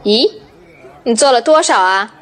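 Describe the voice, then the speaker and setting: A woman's voice: a little high, animated, unhurried, fairly bright tone, a faint crowd. An actress playing a friendly, concerned female shopkeeper in an audio drama. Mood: curious, concerned. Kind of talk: Chinese audio drama acting.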